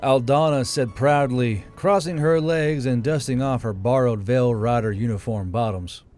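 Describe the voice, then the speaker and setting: A man's voice: mid-range voice, moderate pace, darkish tone. Guy reading a fantasy story, mid-range voice, music in the background. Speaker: audiobook narrator. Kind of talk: scripted fantasy narration. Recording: music behind the voice.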